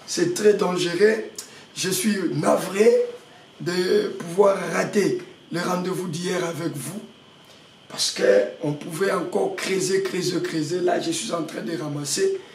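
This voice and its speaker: A male pastor with a head cold gives a sermon, halting often and repeating words, and puts rhetorical questions to his listeners. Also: fairly high pitch. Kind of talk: prayer-meeting sermon. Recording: echoey room, mic at a distance, faint background noise.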